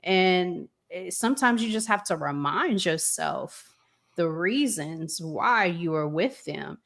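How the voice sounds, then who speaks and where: A woman's voice: lowish voice, animated, slow, gravelly, a bit bright. A woman hosting a relationship discussion in an earnest, reflective conversational style, repeating phrases for emphasis. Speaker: relationship podcast host asking questions.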